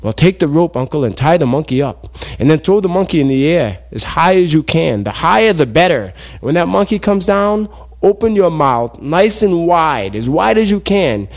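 A man's voice: fairly high pitch, very animated, normal speed. A man voicing a sly trickster nephew in a folktale, cool and persuasive as he makes exaggerated claims matter-of-factly. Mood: sly coaxing. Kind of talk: animated folktale storytelling.

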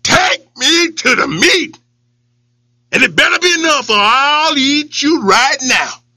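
A storyteller voicing a big, bossy male lion who shouts and growls his threats. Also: high voice, expressive, slow pace, dry recording, studio quality, pitched above the performer's own voice, heavily acted. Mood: threatening.

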